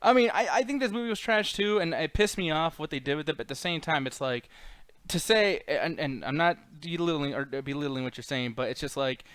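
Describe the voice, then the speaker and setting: A man's voice: on the high side, a little fast, fairly bright tone, clear, resonant tone, no echo. A man hosting a casual panel chat, arguing informally and swearing as he banters. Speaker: podcast host.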